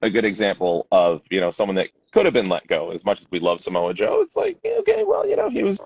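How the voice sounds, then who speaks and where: A man's voice: lowish voice, huge pitch swings, fast, vocal fry, darkish tone, husky, dry recording, highs cut off. A man co-hosting a wrestling podcast in casual chat, agreeable and rambling, with frequent yeahs. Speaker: podcast co-host.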